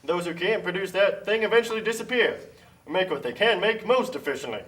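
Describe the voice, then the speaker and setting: A man voicing a pompous puppet-show pitchman for free trade, lecturing smugly like a salesman. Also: lively intonation, a little fast, fairly bright tone, distant mic, low-quality recording. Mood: smug, promoting. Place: community TV studio.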